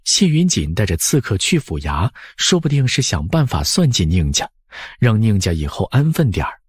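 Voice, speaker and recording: A man's voice: on the low side, big pitch swings, normal speed, breathy edge, no room sound, clean studio sound. A man narrating a novel as an audiobook, scripted and dramatic.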